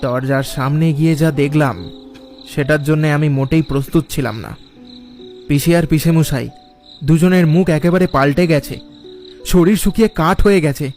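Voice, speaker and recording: A man's voice: on the high side, a little mellow, close to an everyday voice. A man playing a frightened nephew, narrating a cartoon ghost tale in an anxious, suspenseful, dramatic way.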